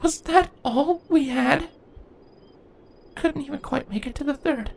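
A man voicing a young grieving pony queen in a storybook reading, pitching his voice high and strained. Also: very animated, unhurried, creaky, husky, heavily acted. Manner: tired then bitter, breaks into angry shouts.

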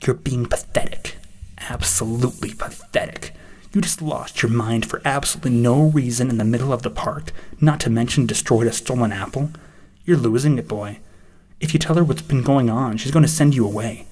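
A man's voice: mid-range voice, moderate pace, darkish tone, close to an everyday voice. A man voicing a cruel, taunting inner voice that scornfully berates a young dragon in an audio story. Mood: mocking, harsh.

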